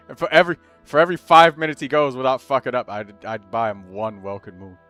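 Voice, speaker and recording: An excitable man hosts a gaming stream, talking casually with friends at a computer mic. His pitch sits in the middle range, he swears freely and sometimes breaks into loud shouts. Faint music plays behind him. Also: normal speed, no echo. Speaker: streamer host.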